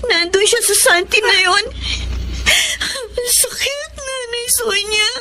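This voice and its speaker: A woman's voice: extremely high pitch, slow. An actress playing a betrayed young wife, crying as she speaks, in a radio drama. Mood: tearful.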